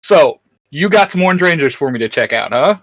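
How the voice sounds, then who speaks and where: A man's voice: fairly high pitch, animated, fairly quick, dry recording. A young man hosting a YouTube channel in casual, slangy chat.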